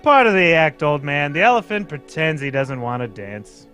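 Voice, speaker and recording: A man's voice: a little high, sing-song, normal speed, music in the background. A man doing a pompous, florid old stage ham. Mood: blustery. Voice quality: florid, exclamatory old-time delivery. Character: pompous, theatrical older male actor sidekick. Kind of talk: 1940s radio acting, table read.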